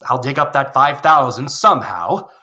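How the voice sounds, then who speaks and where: A man's voice: medium pitch, expressive, normal speed, pushed and bright, dull audio. A man playing a decent, harried middle-aged father in a radio play, pleading earnestly, kind but firm.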